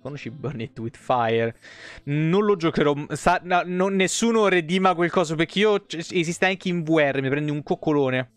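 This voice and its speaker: A man's voice: on the high side, expressive, moderate pace, no room sound. A man, live streaming casually in slangy, foul-mouthed talk and reading game lore aloud. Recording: music playing behind the voice. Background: soft tavern guitar music.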